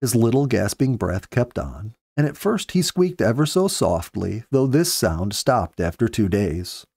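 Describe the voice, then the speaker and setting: A man in his fifties reading a story aloud in measured, earnest storybook narration, close to the microphone. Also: lowish voice, sing-song, creaky, a little mellow, slightly rough, no echo. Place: small indoor home study. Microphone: close studio microphone with pop filter.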